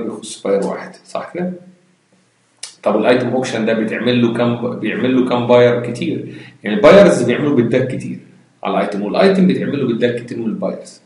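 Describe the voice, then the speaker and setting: A man's voice: mid-range voice, a little mellow. A man with an Egyptian Arabic accent teaching a database lesson over a screen recording, explaining step by step, mixing in English terms and asking rhetorical questions. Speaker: computer science instructor. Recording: very echoey room.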